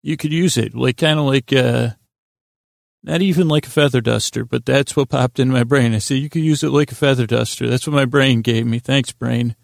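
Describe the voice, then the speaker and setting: Creaky-voiced, soothing, rambling male podcast host. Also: medium pitch, fairly even intonation, dry recording, clean studio sound. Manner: meandering, halting, gently self-deprecating; lulling, soothing tones. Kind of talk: rambling sleep podcast monologue.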